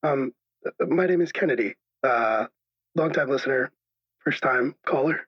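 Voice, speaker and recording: A man plays a troubled caller on a radio show, anxious and apologetic. His voice is rough and husky, breaking into hesitant stammers. The sound is dull and lo-fi. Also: medium pitch, normal speed, close to an everyday voice. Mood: nervous, apologetic. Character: anxious, troubled male caller unsure of his own reality. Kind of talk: improvised radio drama. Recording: dull lo-fi echoey call sound.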